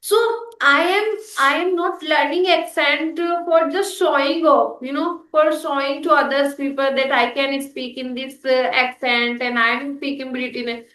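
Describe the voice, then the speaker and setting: A woman's voice: sits high, steady tone, measured pace, hardly any pauses, a bit bright, reverberant, far from the mic, low-quality recording. A young woman with an Indian accent chatting casually in English, hesitant with many fillers and restarts. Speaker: host, English learner. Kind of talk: casual practice conversation.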